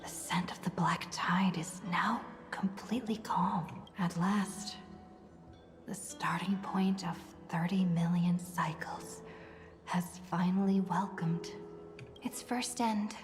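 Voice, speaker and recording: A woman voicing a gentle, hopeful young heroine in a story game, speaking softly in a breathy, half-whispered voice. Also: fairly low pitch, fairly even intonation, slow, faint music behind. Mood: wistful. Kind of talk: game dialogue.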